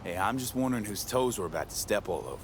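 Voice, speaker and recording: A man's voice: lowish voice, lively intonation, fast, noisy background, lightly acted. An actor voicing a wary, practical male mercenary in a video game who asks pointed questions about the plan. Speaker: game protagonist.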